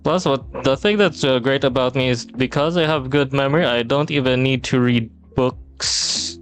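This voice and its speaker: A young man from the Philippines chatting casually on a drawing stream, relaxed and rambling with many pauses and fillers. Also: medium pitch. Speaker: streamer and teacher. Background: soft background music.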